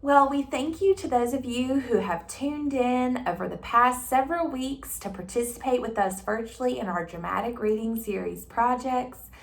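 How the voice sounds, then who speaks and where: A woman presenting in an instructive, expressive way, as for a tutorial or a storybook reading. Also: fairly high pitch, moderate pace, vocal fry, few pauses. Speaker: 4-H agent, presenter. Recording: roomy echo, distant microphone.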